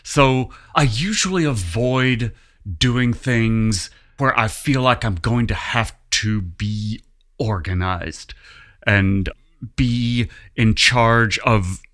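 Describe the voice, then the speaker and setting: A man's voice: mid-range voice, takes it slowly, a bit bright. A man chatting casually as a theatre director being interviewed, with a self-deprecating manner. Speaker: community theatre director, guest.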